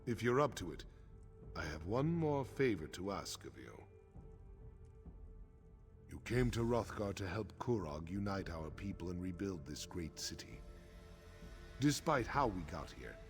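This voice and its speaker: An actor playing a grave, honorable middle-aged orc warrior chief in a video game, speaking in a solemn, measured, frank way. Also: male voice, sits low, big pitch swings, full character voice. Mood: solemn, earnest. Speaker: quest-giving game character. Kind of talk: scripted video game dialogue. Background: game music.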